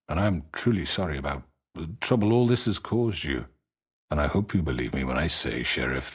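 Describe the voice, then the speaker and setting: An actor playing a suave, menacing crime boss in a dramatic video game, husky and falsely courteous, with formal, unctuous phrasing. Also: male voice, rumbling low, heavily acted. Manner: unctuous, formal, measured politeness.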